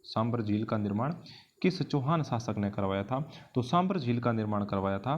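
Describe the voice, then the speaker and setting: A man's voice: lowish voice, lively intonation, normal speed, highs cut off, slight background noise. A man with an Indian accent teaching an exam-prep lesson in question-and-answer form, didactically repeating key phrases for emphasis. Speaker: history teacher.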